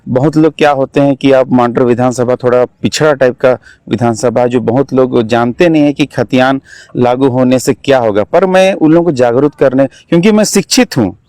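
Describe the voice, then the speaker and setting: A young male political candidate speaks in a dry, close sound at a middle pitch. He has a Jharkhand Hindi accent and answers interview questions earnestly, urging his listeners on. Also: moderate pace, a little mellow, no echo. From Jharkhand, India. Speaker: election candidate, political activist.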